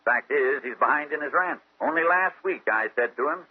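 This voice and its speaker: An actor playing a chatty, defensive older landlord with folksy, ungrammatical talk in a radio mystery. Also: male voice, on the high side, lively intonation, normal speed, slightly rough. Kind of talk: 1940s radio mystery acting. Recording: thin telephone-like old radio recording.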